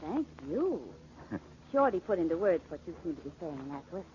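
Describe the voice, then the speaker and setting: An actress playing a detective's teasing, quick-witted girlfriend in a 1940s radio drama, with playful, dry banter. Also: on the low side, very animated, a little mellow, slight background noise. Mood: friendly. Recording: muffled 1940s radio recording.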